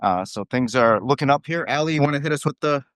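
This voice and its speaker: A man speaking as a company executive on an earnings call, semi-casual and upbeat with a halting delivery. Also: mid-range voice, expressive, a bit bright, clear, resonant tone, dry recording, clean studio sound.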